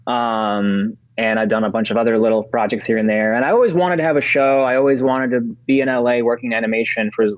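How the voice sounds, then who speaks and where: A man's voice: medium pitch, steady tone, fast talker, hardly any pauses. A man in his thirties, originally from Ukraine, chatting casually as a podcast guest, rambling with many fillers and restarts. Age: 30s. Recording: dull lo-fi audio.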